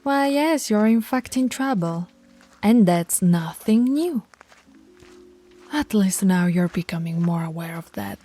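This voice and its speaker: A woman's voice: mid-range voice, animated, a little slow, warm tone, faint music behind. A woman voicing a stern, teasing alpha werewolf pack leader in an audio roleplay, authoritative and taunting. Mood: stern, scolding.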